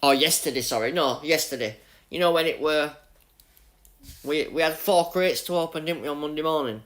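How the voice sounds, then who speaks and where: A man's voice: a little high, moderate pace, fairly bright tone. A young man with a Yorkshire accent chatting casually with his live-stream viewers while playing a racing game, greeting them by name and laughing at his own luck. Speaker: gaming livestreamer.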